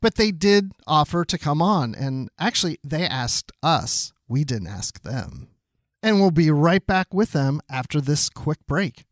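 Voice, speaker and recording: A man's voice: a little high, very animated, unhurried, no room sound. A man co-hosting a podcast in casual chat, with a playful, joking manner. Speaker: podcast co-host.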